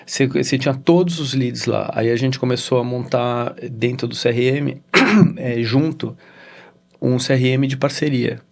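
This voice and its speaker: Gravelly Brazilian man telling a story. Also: mid-range voice. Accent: Brazilian Portuguese. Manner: halting, with many restarts and "né?" tags. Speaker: interview guest, real estate executive. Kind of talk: casual storytelling interview.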